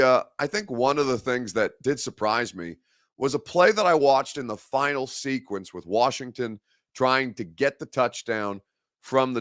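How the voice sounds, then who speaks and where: Hoarse, gravelly man hosting sports talk. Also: medium pitch, fairly bright tone, no echo, clean studio sound. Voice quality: gravelly, growly. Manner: rambling and conversational, restarts phrases, reads viewer comments aloud. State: hoarse, worn down from a head cold. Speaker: sports radio and live-stream host. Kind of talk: casual live-stream sports talk.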